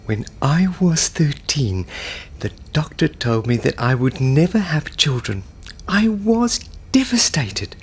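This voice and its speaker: A man voicing a wistful, doting parrot puppet in an earnest comic monologue, with a South African accent and a husky voice. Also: on the high side, sing-song, slow pace, full character voice. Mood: wistful, confiding.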